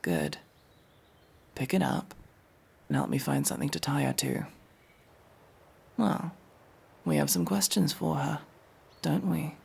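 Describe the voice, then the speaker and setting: Man acting a slow, gravelly masked elf mercenary. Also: a little high, very animated, a little mellow, full character voice. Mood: dry, taunting. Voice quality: gravelly, husky. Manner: slow, menacing, dry sarcasm. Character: masked male elf mercenary, cold, sardonic interrogator. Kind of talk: fantasy audio roleplay acting.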